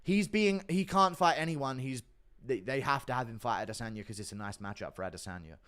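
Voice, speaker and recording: A man's voice: mid-range voice, a little fast, fairly bright tone, smooth. Blunt, profane male streamer. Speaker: livestream host. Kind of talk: casual livestream chat reading donations.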